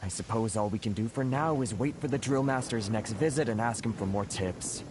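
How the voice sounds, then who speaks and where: A man's voice: on the low side, fairly quick, darkish tone, slight background noise, lightly acted. A man voicing an earnest, self-critical trainee archer in a video game, talking reflectively. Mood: frustrated, resigned.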